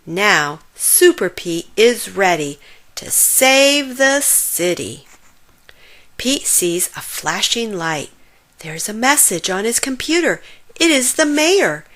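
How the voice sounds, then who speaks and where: A woman narrating a children's picture book in an expressive, deliberate storytelling manner for young listeners. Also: mid-range voice. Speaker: read-aloud storyteller for children.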